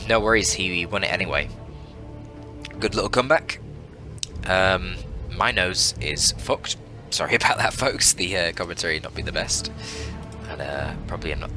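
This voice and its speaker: A man giving casual, chatty and analytical commentary on a strategy game as a caster, informal and lightly joking. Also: low voice. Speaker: esports caster and channel host. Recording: music behind the voice.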